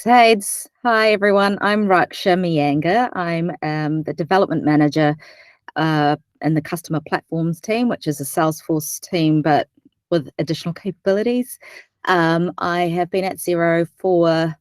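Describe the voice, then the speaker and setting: Brisk woman from Fiji, lowish voice. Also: dry recording, studio quality. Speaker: development manager. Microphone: video call.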